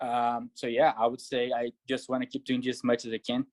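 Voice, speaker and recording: A man talks through his answers in a measured way, pausing on fillers as he thinks. His voice sits at a middle pitch for a man. The sound is dry and close, with no room echo. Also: monotone, darkish tone. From Brazil. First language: Portuguese. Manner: many fillers, thoughtful and measured. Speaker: pro triathlete and coach. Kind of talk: casual interview answers.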